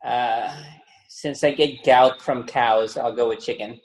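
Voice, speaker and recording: A man in his sixties from the Philippines, a guest on a podcast, relaxed and joking in his answers. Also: medium pitch, unhurried, reverberant, rough recording. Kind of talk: casual interview.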